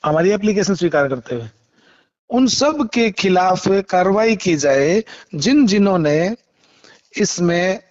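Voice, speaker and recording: Male lawyer, high-pitched, halting and polite explainer, lo-fi phone recording. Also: a little mellow. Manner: halting, repeats phrases, polite frequent acknowledgements. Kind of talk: phone interview, explaining a case. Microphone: phone call. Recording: muffled, lo-fi phone call recording.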